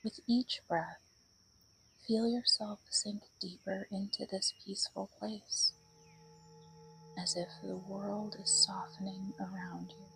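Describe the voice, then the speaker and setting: A woman leading a guided meditation in a soothing, breathy voice that often drops toward a whisper. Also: mid-range voice, steady tone, takes it slowly, fairly bright tone, slight background noise, rough recording.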